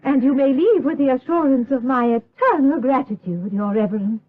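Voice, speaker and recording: A woman's voice: sits high, moderate pace, phone-quality sound, a little hiss or hum, natural voice. An actress playing a prudent, genteel señora and mother in a gothic radio drama, speaking with formal, guarded politeness. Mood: coolly polite.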